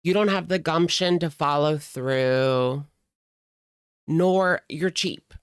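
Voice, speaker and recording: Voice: mid-range voice, slow, clear, resonant tone, no echo, clean studio sound. A reaction channel host talking casually in a blunt, mocking and sardonic way while commenting on a video.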